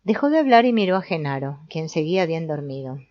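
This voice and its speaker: A woman reading an audiobook as narrator in a measured, explanatory way. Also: low voice, sing-song, no echo. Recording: dull, lo-fi sound.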